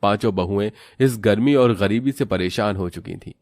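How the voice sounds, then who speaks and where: A man's voice: on the low side, moderate pace, darkish tone, dry recording. A man narrating a scripted cartoon moral tale as its storyteller.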